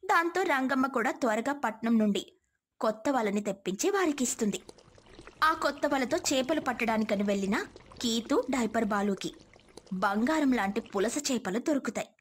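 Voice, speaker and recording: A woman's voice: fairly high pitch, lively intonation, normal speed, a little hiss or hum. A woman telling a scripted moral story as its narrator.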